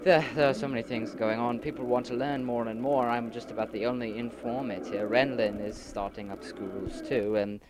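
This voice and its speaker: A man playing an earnest leader in an amateur philosophical audio drama, explaining his society formally and haltingly on a homemade nineties recording. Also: mid-range voice, steady tone, noisy background, lightly acted. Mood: earnest, explanatory. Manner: halting, explanatory, formal wording with many hesitations.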